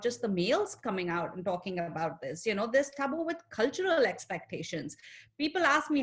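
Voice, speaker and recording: An Indian-accented woman psychologist speaking on an online panel. She talks earnestly and slows down to stress the words that matter most. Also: a little high.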